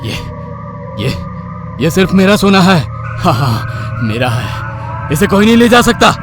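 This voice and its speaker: Man acting a loud, frantic, haunted policeman. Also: high-pitched, sing-song, a little slow. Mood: crazed, threatening. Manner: loud, agitated, repeating himself. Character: gold-obsessed, haunted policeman, frantic and threatening. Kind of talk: dramatised horror story acting. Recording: music behind the voice.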